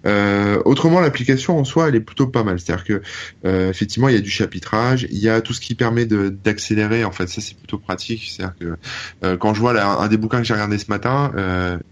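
A man from northern France rambles through a casual podcast chat with plenty of fillers and restarts. His voice sits fairly low, and he airs blunt opinions. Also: fast, lo-fi sound. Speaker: podcast co-host.

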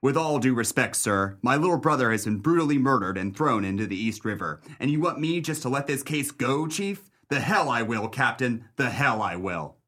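A man's voice: medium pitch, expressive, normal speed, hardly any pauses, a bit bright. A man playing a dogged, bitter police detective in a 1930s pulp crime radio play, with a wry, tough-talking manner. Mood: angry, determined.

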